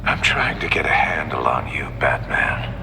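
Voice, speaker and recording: Menacing game villain, gloating and theatrical, animated intonation, reverberant with noisy ambience. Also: male voice, rumbling low, gravelly, exaggerated voice. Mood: taunting, menacing. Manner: theatrical, taunting, drawn-out menace. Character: gloating, theatrical criminal mastermind, male villain. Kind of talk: video game voice acting.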